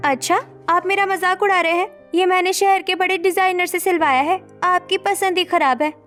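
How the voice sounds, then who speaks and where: Cartoon role: mischievous sharp-tongued younger sister voiced by a woman, very high pitch, music behind. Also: fairly even intonation, moderate pace. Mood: indignant. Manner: pert, cheeky.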